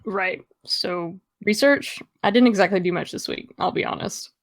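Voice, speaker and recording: A woman's voice: medium pitch, moderate pace, no room sound, natural voice. An actress playing a guarded, hungover teenage girl, dry, hesitant and defensive, in a naturalistic audio drama. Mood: tired, guarded.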